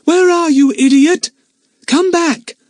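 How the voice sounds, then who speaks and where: A man voicing a timid, anxious little girl who talks in a high, urgent, pleading way. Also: wildly swooping pitch, moderate pace, breathy edge, no echo, clean studio sound, heavily acted. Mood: yelling.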